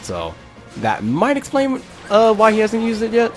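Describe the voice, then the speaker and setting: A man giving casual, halting live game commentary, breaking off to exclaim at the game. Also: sits high, wildly swooping pitch, soft background music. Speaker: gaming video creator.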